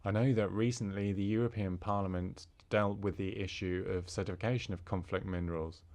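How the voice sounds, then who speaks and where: A man interpreting a formal speech into English, halting, with fillers and restarts. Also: sits low, fairly even intonation, a little mellow.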